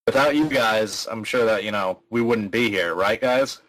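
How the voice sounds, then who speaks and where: A man's voice: fairly low pitch, normal speed, fairly bright tone, poor audio. A man chatting casually as a podcast guest, rambling and laughing often. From American. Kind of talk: casual chat.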